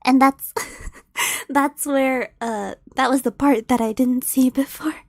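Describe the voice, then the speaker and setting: A woman's voice: high-pitched, fairly even intonation, takes it slowly, no echo, clean studio sound. A woman streamer reading fanfiction aloud, giggling and stumbling over the words as she goes.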